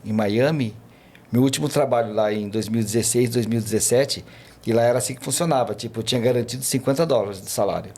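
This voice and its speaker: Fast-talking man telling stories. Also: medium pitch, a little mellow. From Brazil. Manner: rambling, many fillers and restarts. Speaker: podcast guest, tour guide. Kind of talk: casual chat, storytelling.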